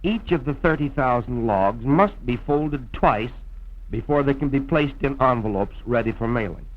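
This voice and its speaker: An American man narrating a scripted promotional film in a formal, measured old-time radio announcer's style, on a vintage soundtrack. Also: mid-range voice, animated, dull audio. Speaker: radio program host and narrator.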